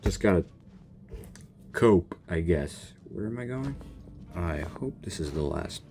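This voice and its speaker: A man's voice: low-pitched, animated, measured pace, warm tone. A man doing casual game reaction commentary, talking to himself expressively as he plays. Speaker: gaming video host. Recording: game music behind voice.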